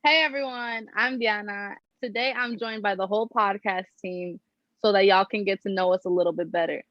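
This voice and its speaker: A woman's voice: mid-range voice, steady tone, fairly quick, dry recording, dull audio. A young woman from New Jersey hosting a casual podcast chat, talking in a slangy, upbeat, joking way. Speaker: podcast host.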